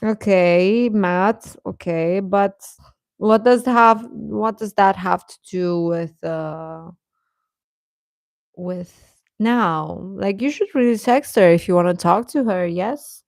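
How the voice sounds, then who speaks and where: A woman hosting a casual livestream chat, answering viewers informally and haltingly, often repeating short phrases. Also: mid-range voice, gravelly, a little mellow, dry recording. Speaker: livestream host chatting with viewers.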